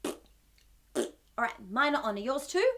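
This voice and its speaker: A woman's voice: high voice, very animated, slow, a bit bright. A woman hosting a children's storytime, talking with exaggerated wonder, frequent gasps and questions to her young listeners. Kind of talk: children's read-aloud and singalong.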